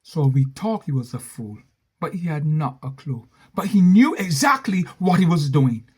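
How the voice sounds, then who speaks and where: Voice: mid-range voice, big pitch swings, moderate pace, darkish tone, slightly rough. A storyteller, telling a cautionary tale with emphatic repetition and asides to the listener. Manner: emphatic, repeats key phrases, asides to listener.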